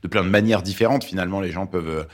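A man's voice: sits low, racing. A man in his forties from Lebanon, a native Arabic speaker, talking thoughtfully as a journalist guest with many hesitations. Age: 40s. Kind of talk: interview conversation.

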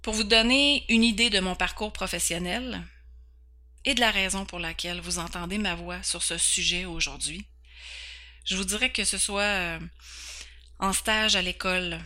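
Bright-voiced Québécois woman host. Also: medium pitch, fairly even intonation, moderate pace, pauses often. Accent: Québécois French. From Quebec, Canada. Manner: earnest, candid, occasionally stumbling over words. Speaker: podcast host. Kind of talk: personal introduction.

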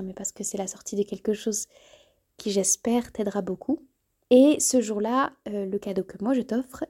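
A woman's voice: medium pitch, slightly rough. A woman in her early thirties hosting her podcast, speaking straight to the listener and sometimes correcting herself mid-sentence. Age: 30s. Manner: warm, addresses listener directly, self-correcting. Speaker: podcast host. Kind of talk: solo podcast trailer monologue.

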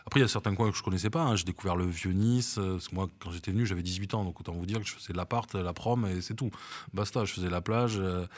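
Middle-aged guy from france, really deep voice, lots of euh and restarts, casual chat. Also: quick pace. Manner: hesitant, many fillers and restarts. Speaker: cinema manager, interview guest. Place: outdoors on a seafront promenade.

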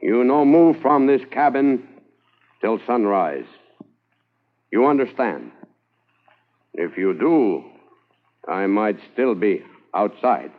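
An actor playing a defiant, hunted warrior who issues clipped, slow threats in a 1950s radio drama. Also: male voice, lowish voice, animated. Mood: menacing. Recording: thin phone-like old radio transcription.

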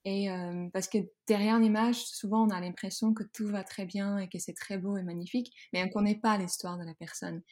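A woman's voice: medium pitch, fairly even intonation, fairly quick, few pauses, a little mellow. A woman from the Netherlands speaking French with a Dutch accent, telling her story as a podcast guest, hesitant with many fillers and restarts. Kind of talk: podcast interview, personal story.

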